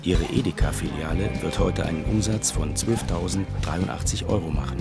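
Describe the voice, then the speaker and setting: A man's voice: low-pitched, moderate pace, creaky. A man narrating facts and figures from a script as an announcer in a radio play. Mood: matter-of-fact. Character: male announcer of statistics. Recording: loud guitar music behind. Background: plucked guitar music.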